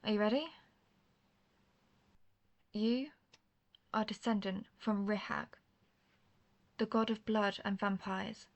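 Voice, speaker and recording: A woman's voice: medium pitch, steady tone, slow, pauses often. A woman playing an intense, guarded vampire hunter, herself a vampire, in a scripted roleplay audio drama, speaking earnestly and formally straight to the listener. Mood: grave, revealing. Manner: earnest, formal phrasing, addressing the listener directly.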